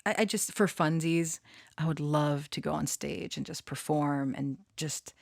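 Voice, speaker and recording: A woman's voice: sits low, measured pace, gravelly, no room sound, clean studio sound. A woman rambling casually to her online followers, giggly and prone to swearing. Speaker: online storyteller and channeler.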